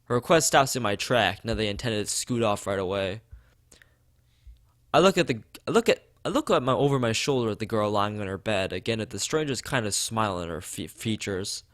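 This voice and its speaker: A man's voice: fairly low pitch, animated, brisk, a bit bright. A man reading a visual novel aloud as a let's play host, stumbling over words and rereading them, with his own side comments.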